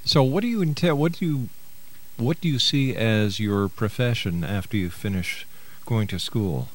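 Low-pitched male radio host. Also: lively intonation, moderate pace. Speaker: radio talk show host. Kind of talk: radio interview and announcing. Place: radio studio.

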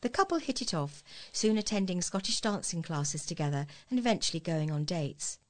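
Female, British accent, lower-pitched voice, volunteer local newsreader, scripted read. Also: animated, normal speed. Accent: British English. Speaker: volunteer reader of local news. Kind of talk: scripted news reading.

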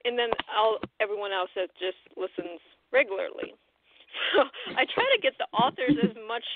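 A woman hosting an interview in casual chat, friendly, at times pausing to search for words. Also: mid-range voice, lively intonation, slight background noise. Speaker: host. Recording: dry tinny phone line.

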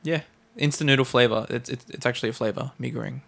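A man's voice: medium pitch, fast talker, creaky, a crowd in the background. A man co-hosting a casual podcast, reading out quiz facts from his notes. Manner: reads facts from notes, laughs easily. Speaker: podcast co-host running a quiz.

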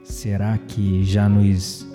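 An actor playing an amiable, self-deprecating, introspective man in an audio drama, speaking Brazilian Portuguese in a measured, reflective way. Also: lowish voice, completely flat delivery, music in the background. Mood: intrigued, longing. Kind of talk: audio drama dialogue and inner monologue.

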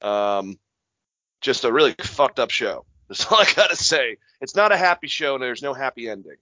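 A man's voice: mid-range voice, very animated, moderate pace, sharp tone, dry recording, dull audio. A young man from Massachusetts, co-hosting a casual podcast and rambling through fillers and repeated words. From Massachusetts, USA. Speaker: podcast co-host.

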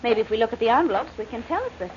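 A woman's voice: on the high side, animated, racing, natural voice. An actress playing an eager, chatty female sidekick with an American accent, playful, in a 1940s-style radio detective drama. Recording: muffled, noisy old radio recording.

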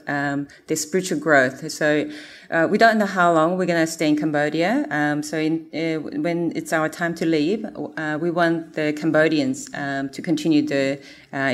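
A woman presenting her mission work, speaking earnestly with many pauses and fillers. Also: deep voice.

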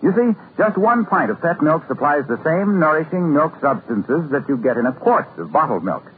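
A man's voice: fairly high pitch, big pitch swings, moderate pace, a little hiss or hum. An American man announcing in a 1950s radio style, reading ad copy with polished, emphatic salesmanship on a tinny old recording.

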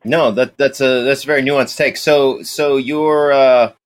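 A man's voice: mid-range voice, fairly even intonation, unhurried. A man co-hosting a podcast, asking questions tentatively as he feels his way through them. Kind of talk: casual interview chat.

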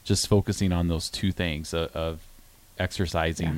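A man's voice: sits low, steady tone, moderate pace. A man in his forties co-hosting a casual interview, speaking in an earnest, conversational way. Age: 40s. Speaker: co-host.

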